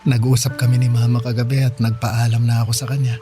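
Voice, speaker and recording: An actor in a Tagalog radio drama playing a shy, earnest young provincial suitor who speaks in a bashful, halting, tender way. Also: male voice, mid-range voice, little pitch movement, natural voice. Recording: music playing behind the voice.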